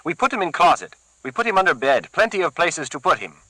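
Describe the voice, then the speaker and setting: Man voicing a keen, simple-minded Puerto Rican heavy, pitched up and bright, with broken English and a Puerto Rican Spanish accent. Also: sing-song, fast, no room sound, heavily acted, low-quality recording. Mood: eager. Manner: eager, pushy, broken English. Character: eager, dim Puerto Rican young thug.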